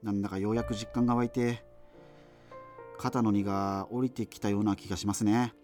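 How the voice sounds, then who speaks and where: A man's voice: on the low side, little pitch movement, fairly quick, a little mellow, soft background music, natural voice. A man playing a geeky, rambling radio host facing doomsday in a radio drama, talking hesitantly and digressively. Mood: resigned, musing. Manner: hesitant, digressive, self-interrupting. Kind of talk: radio drama monologue, conversational.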